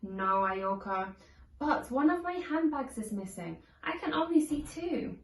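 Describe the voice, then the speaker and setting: Woman, children's read-along narrator, high voice, very animated intonation, deliberate phrasing. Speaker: storybook reader. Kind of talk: children's read-along storytelling with acted dialogue. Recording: echoey room, distant from the mic.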